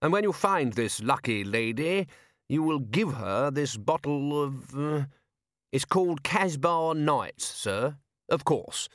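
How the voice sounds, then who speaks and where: A man voicing an urbane aristocratic ruler in an audiobook, measured and drily ironic. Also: fairly high pitch, lively intonation, a bit bright, no room sound, studio quality, pitched above the performer's own voice, full character voice. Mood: dry irony. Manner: measured, deliberate, drily sardonic.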